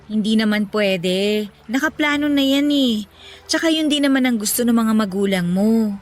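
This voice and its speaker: A woman playing a caring, level-headed young girlfriend in a Filipino radio romance, gentle and reassuring. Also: fairly high pitch, lively intonation, normal speed, natural voice. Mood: caring, wistful. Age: young adult. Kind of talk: Tagalog radio romance drama acting.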